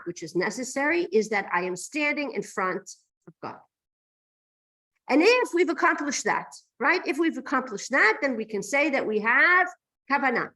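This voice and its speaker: Lady giving a religion lecture, highish voice that swoops around, really emphatic, asks questions as she goes. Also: fairly bright tone. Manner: emphatic, poses rhetorical questions and checks "right?". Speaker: teacher of a religious class. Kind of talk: lecture to a class, explaining texts.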